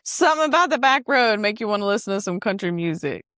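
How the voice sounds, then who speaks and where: Give me a lively Southern US woman host, laughing easily. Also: mid-range voice, fast talker, no room sound. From Mississippi, US. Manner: laughs easily, teasing and playful. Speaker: podcast host. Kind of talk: casual chat.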